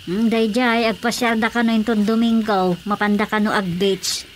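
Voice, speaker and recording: Calm, mid-pitched Ilocano mother played by an actress. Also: moderate pace, a little hiss or hum, close to an everyday voice, rough recording. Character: calm Filipino village mother. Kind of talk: Filipino radio drama acting.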